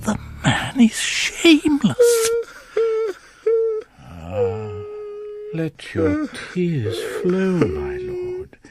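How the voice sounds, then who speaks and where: Slow, pompous priest. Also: male voice, very high voice, huge pitch swings, loud music behind the voice, heavily acted. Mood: stern reproof. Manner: measured, pompous, theatrical. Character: sanctimonious, self-satisfied male priest, slyly calculating. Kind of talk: radio drama with confiding asides.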